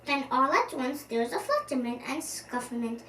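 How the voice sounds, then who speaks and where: High-pitched young girl reading a storybook, very animated. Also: normal speed. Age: child. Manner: animated, expressive, with stumbles over words. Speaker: storyteller, channel host. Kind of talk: children's storybook read-aloud. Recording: roomy, distant microphone, faint background noise.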